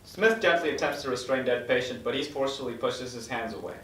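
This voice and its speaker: A man's voice: mid-range voice, fast talker, fairly bright tone. Man reading stage directions in an echoey room. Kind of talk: scripted narration at a table read. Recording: echoey room from far off, noisy.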